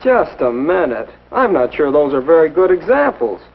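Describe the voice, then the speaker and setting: A skeptical, plain-spoken teenage boy in an old educational film. His voice is husky with lively intonation. The sound is dull and aged. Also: fairly high pitch, moderate pace, exaggerated voice. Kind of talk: 1950s instructional film acting.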